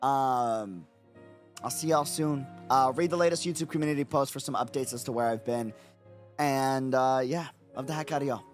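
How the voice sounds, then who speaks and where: A man streaming casually late at night, his voice raspy and hoarse from no sleep, rambling and repeating himself with lots of fillers. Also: fairly high pitch. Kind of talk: casual livestream chat.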